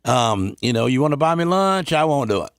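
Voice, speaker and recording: A man's voice: medium pitch, sing-song, brisk, clean tone, dry recording. Plain-spoken man, dry studio sound. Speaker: radio show co-host. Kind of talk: casual banter and anecdotes. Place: radio studio. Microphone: close studio microphone.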